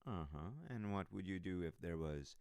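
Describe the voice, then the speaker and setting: A man playing a sassy, loving husband in an intimate ASMR roleplay, teasing and halting as he talks to his wife. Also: sits low, clear, resonant tone, dry recording, studio quality. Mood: animated, wry. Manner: teasing, halting, hushed stretches breaking into animated bursts.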